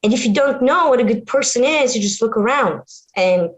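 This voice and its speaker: A woman in her thirties from Bulgaria with a Bulgarian accent, a physics lecturer telling her story earnestly over a video call, with animated delivery and frequent restarts. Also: fairly high pitch, a little fast.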